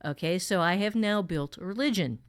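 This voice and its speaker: A woman's voice: on the low side, moderate pace, clear, resonant tone. Woman teaching a writing workshop, emphatic. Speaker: fiction writing teacher and co-host.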